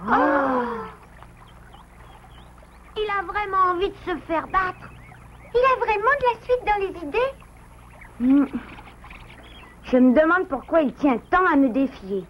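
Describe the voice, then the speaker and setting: A plucky little beaver boy, cheerful, really high voice, kinda dull sound with music behind. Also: expressive, takes it slowly, long pauses, a little hiss or hum, full character voice. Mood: curious. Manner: cheerful, encouraging. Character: plucky young male beaver, good-natured and fair. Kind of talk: French cartoon dub.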